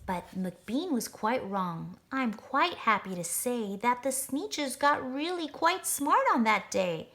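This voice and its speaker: Slow, very expressive woman reading a storybook. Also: on the high side. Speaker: storybook reader. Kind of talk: expressive children's read-aloud narration.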